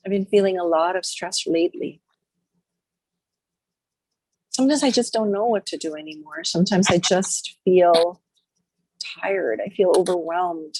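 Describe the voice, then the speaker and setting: A woman guides listeners through a healing meditation in a low, husky voice. She speaks softly and slowly in short repeated phrases with long pauses. The sound is dry and close. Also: no room sound, rough recording. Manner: slow, soft, repetitive phrases with long pauses.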